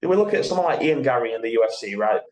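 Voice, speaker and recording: A man's voice: mid-range voice, animated, very fast, a little mellow. A man in casual sports podcast discussion, explaining his points step by step through examples. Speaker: podcast co-host. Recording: roomy, echoey sound.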